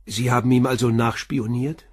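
Actor as a husky, calm police inspector. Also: male voice, medium pitch, normal speed, no room sound, clean studio sound, natural voice. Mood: calm, probing. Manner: terse, matter-of-fact questioning. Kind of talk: German radio crime drama acting.

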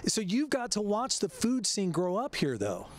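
A man's voice: high voice, huge pitch swings, a little hiss or hum. A man reporting live for a local TV morning show, interviewing chefs on an outdoor patio set with a handheld microphone, upbeat and chatty. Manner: upbeat and chatty, quick follow-up questions. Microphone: handheld station microphone.

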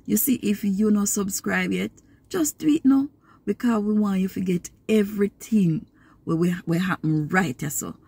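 A middle-aged woman with a Jamaican accent reading aloud devotionally in a measured, expressive way. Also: medium pitch, vocal fry, husky. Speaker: scripture reader. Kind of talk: devotional read-aloud in a casual, personal setting. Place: inside a parked car.

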